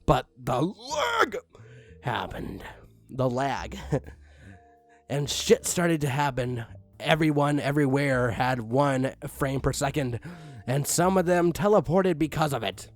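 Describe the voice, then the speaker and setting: A man's voice: medium pitch, sing-song, slow, faint music behind. A man reading a badly written fan story aloud in a mock-dramatic, exasperated way. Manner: exasperated, mock-dramatic, sighing and swinging into outbursts. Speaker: reader of fan fiction.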